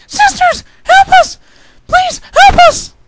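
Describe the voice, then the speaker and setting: A man voicing a young female unicorn filly in a dramatic reading, frightened but brave and crying out with high drama. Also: steady tone, slow, heavy background noise. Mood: desperate pleading.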